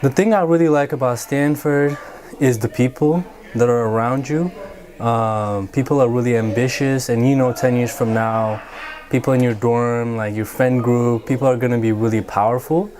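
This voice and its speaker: A man's voice: mid-range voice, fairly even intonation, moderate pace, a little mellow, slight background noise. A young man, a university student, giving a casual, conversational answer in an interview. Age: young adult.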